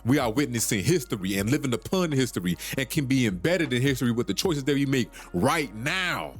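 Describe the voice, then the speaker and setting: A man hosts a solo podcast at a mid pitch. He presses his points in an urgent, preachy way, repeats himself and swears casually. Faint music plays behind him. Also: very animated, a little fast. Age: 30s. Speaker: podcast host.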